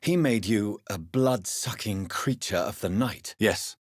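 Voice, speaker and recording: An actor playing a grave, courteous British gentleman doctor turned vampire in a video game, speaking formally and politely with a husky, breathy voice. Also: on the low side, dry recording, studio quality. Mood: formal, serious. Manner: formal, measured, polite questioning. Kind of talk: dramatic video game dialogue.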